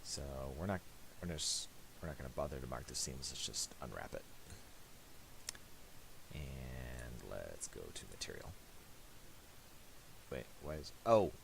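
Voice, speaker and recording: A man giving a casual, unscripted software tutorial, thinking aloud hesitantly and a little self-deprecatingly. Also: very deep voice, sing-song, unhurried, creaky, pauses often, rough recording. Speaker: tutorial presenter. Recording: hissy home recording with mouse clicks.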